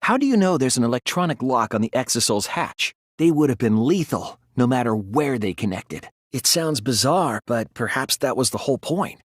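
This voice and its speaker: A man playing an earnest, analytical young male detective in an English video game dub, reasoning deliberately and articulately. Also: medium pitch, expressive, dry recording, clean studio sound, close to an everyday voice. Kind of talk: English game dub, dramatic courtroom deduction.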